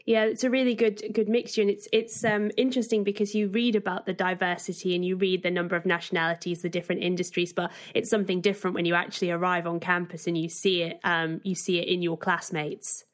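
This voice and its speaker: A woman's voice: lowish voice, level tone, quick pace, hardly any pauses. A woman podcast host putting friendly, prepared interview questions to a guest.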